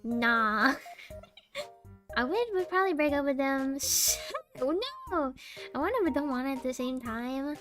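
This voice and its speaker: A young woman with a small, sweet voice, chatting casually on a live stream, rambling and giggling between deadpan jokes. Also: high voice, a little slow, long pauses, soft background music.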